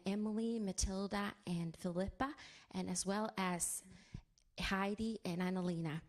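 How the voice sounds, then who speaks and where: A middle-aged woman from Sweden with a Swedish accent, giving an earnest, halting church testimony full of fillers and restarts. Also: mid-range voice, darkish tone.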